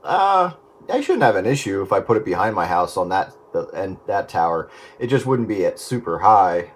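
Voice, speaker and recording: A man's voice: low-pitched, sing-song, moderate pace. A man chatting casually over two-way radio as a hobbyist. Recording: two-way radio with faint noise.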